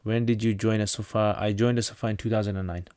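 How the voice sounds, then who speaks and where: Low-voiced man from North Pakistan. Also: flat delivery, fast talker, a little mellow, clear, resonant tone, no room sound, clean studio sound. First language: Pashto. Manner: reads out questions then answers plainly, with frequent restarts. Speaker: channel host answering viewer questions. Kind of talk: casual livestream Q&A.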